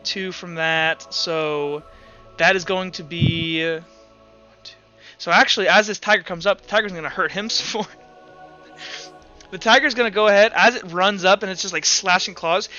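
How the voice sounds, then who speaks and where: A man's voice: high-pitched, bright, forceful tone, smooth, dull audio. A man acting as game master, narrating a tabletop roleplaying game in a casual, slangy way.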